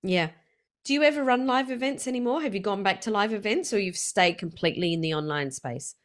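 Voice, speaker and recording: A woman's voice: medium pitch, expressive, fairly quick. A woman hosting an interview, listening closely, summing up what she hears and asking follow-up questions. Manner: attentive, sums up and asks questions. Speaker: interview host. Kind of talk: casual interview talk.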